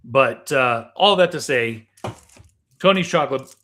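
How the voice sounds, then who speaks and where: A man's voice: mid-range voice, lively intonation, unhurried, pushed and bright. A middle-aged man, co-hosting a casual, chatty podcast with food tasting. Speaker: podcast co-host. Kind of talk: casual chat, snack tasting.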